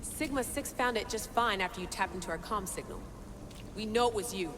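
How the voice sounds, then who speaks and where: A woman's voice: medium pitch, brisk, background noise, natural voice. A woman playing a defiant, headstrong young female assassin with emotional intensity in a video game cutscene. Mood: accusing.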